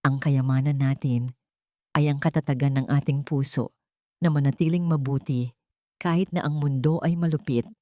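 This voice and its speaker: A woman's voice: a bass voice, fairly even intonation, normal speed, dry recording, studio quality. A woman voicing a tender, emotional elderly father in an audiobook drama. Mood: moved, tender. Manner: hushed, emotional.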